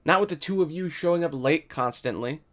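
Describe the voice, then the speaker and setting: A man's voice: on the high side, fairly quick, voice pushed up, lightly acted. A man voicing a stubborn, commanding gambling father who is proud and curt, in a dramatic story reading. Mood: annoyed, defiant.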